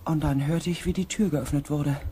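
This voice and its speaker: A man playing a shaken, earnest expedition pilot in a science fiction radio play, with weighty, hushed dramatic delivery. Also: fairly high pitch, steady tone, moderate pace, a little hiss or hum, lightly acted. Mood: hushed, tense.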